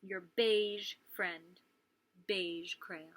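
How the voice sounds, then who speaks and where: A woman voicing a proud but glum beige crayon that feels slighted, drawn-out and aggrieved, in a storybook read-aloud. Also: mid-range voice, fairly even intonation, fairly bright tone, a little hiss or hum, voice pushed down. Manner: slow, aggrieved. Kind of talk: children's picture book read-aloud.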